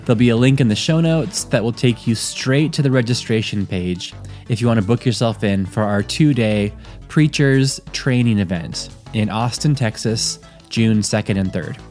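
A man's voice: medium pitch, normal speed, darkish tone, soft background music. Plain-spoken male podcast host. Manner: plain and direct, with frequent fillers. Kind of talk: podcast ad read and interview.

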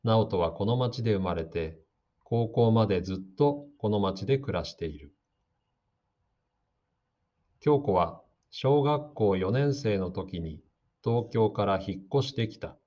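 A man giving slow, calm, scripted narration for a radio drama meant for learners. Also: lowish voice. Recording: dull lo-fi audio.

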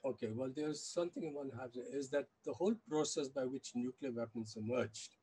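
A man, recalling things in an interview in a halting way and often correcting himself. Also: medium pitch, warm tone, slightly rough, rough recording. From India, with childhood years in China and the US. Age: 70s. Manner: halting, self-correcting recollection. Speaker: interview guest, activist and former journalist. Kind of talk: reflective interview conversation.

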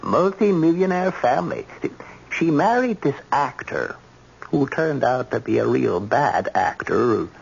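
An actor playing a gossipy, eager, folksy police sergeant in a radio drama, colloquial, animated and emphatic. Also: male voice, medium pitch, measured pace, vocal fry, husky, full character voice. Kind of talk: 1970s radio drama acting. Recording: muffled, noisy old radio recording.

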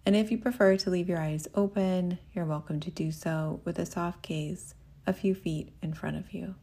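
A woman with a low voice leads a guided meditation as the teacher. She speaks gently and without hurry, giving soothing instructions. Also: steady tone, moderate pace.

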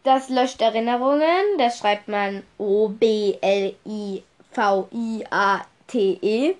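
A woman's voice: medium pitch, slow. A young woman with a cold, chatting casually on her solo podcast and rambling through her notes, spelling words out letter by letter. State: stuffy nose from a cold. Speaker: podcast host.

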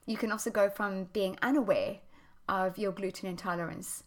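A woman hosting her own podcast, a health coach explaining her topic in an earnest, explanatory way, her voice slightly croaky as she gets over a chest infection. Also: medium pitch, steady tone, normal speed. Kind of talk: solo podcast talk.

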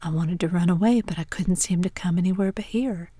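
A woman's voice: lowish voice, brisk, warm tone, no room sound, natural voice. A woman voicing a frightened, broken man accused of murder, pleading and sobbing in a whisper in a storybook reading. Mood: pleading, despairing.

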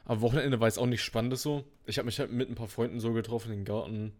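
A man's voice: mid-range voice, fairly even intonation, fast talker, darkish tone. Laid-back young man, slangy chat. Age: turning 19. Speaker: podcast co-host. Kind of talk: casual chat.

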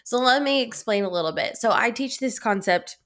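A woman's voice: on the high side, animated, brisk. Stuffy-voiced woman hosting a solo podcast. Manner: warm, reflective, with fillers and restarts. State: head cold, stuffy. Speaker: podcast host. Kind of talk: solo podcast talk, encouraging.

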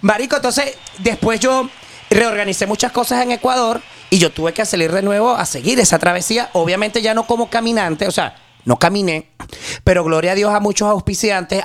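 A Venezuelan man telling a story in a casual podcast chat, slangy and animated, often restarting his sentences. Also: high-pitched, moderate pace. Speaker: podcast guest, journalist.

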